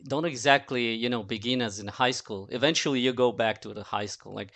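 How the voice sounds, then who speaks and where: A man chatting casually as a podcast guest in a halting way, often repeating words. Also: mid-range voice, a bit bright. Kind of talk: casual panel chat.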